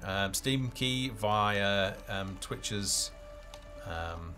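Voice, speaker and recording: Low-voiced man chatting on a live stream. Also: drawn-out words, sharp tone. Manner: hesitant, rambling, thinking aloud. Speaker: game developer hosting a live stream. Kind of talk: casual unscripted live stream chat. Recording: music playing behind the voice.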